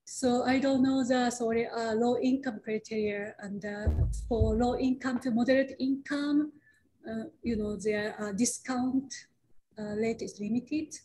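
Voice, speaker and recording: A woman whose first language is Japanese, a case manager speaking on a panel over a video call, halting with many fillers and restarts. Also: on the high side, steady tone, mellow, slight background noise, poor audio.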